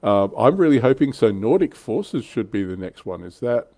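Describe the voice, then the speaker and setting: A man's voice: mid-range voice, very animated, moderate pace, crowd noise. A middle-aged man, hosting a casual livestream chat about tabletop wargaming. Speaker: livestream host.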